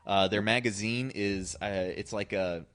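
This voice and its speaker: Very deep-voiced man in casual chat. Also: unhurried, fairly bright tone, faint music behind. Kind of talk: casual chat about films.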